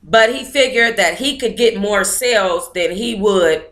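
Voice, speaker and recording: A woman's voice: mid-range voice, a little slow, fairly bright tone. A woman hosting an online book club, reading aloud and commenting in a blunt, informal, opinionated way.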